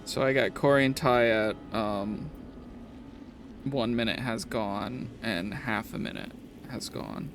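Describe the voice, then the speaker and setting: Man, game master, improvised narration, halting, fry in the voice, faint background noise. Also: mid-range voice.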